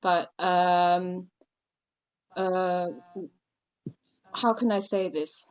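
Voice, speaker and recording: A woman's voice: on the low side, fairly even intonation, drawn-out words, clear, resonant tone. A woman from Thailand, a guest on a casual podcast, talking at length and thinking aloud with "uh" and "you know". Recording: dull lo-fi sound.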